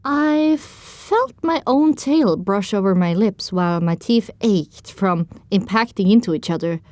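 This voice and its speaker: A woman narrating as a young pony heroine, telling her own story in descriptive, expressive scripted audiobook style. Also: mid-range voice, measured pace, no echo, natural voice.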